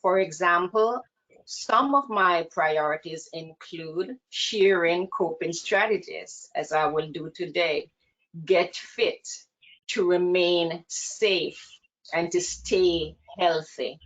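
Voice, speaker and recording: Woman, Jamaican accent, low voice, earnest measured webinar presenter, dull video call sound. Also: fairly bright tone. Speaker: counseling presenter.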